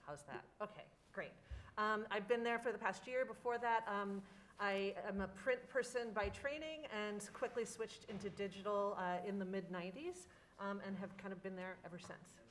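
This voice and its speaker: A woman's voice: mid-range voice, moderate pace, a little hiss or hum. Woman co-presenting a workshop. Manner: casual, easygoing introductions with fillers. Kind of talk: conference workshop talk. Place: conference room with audience.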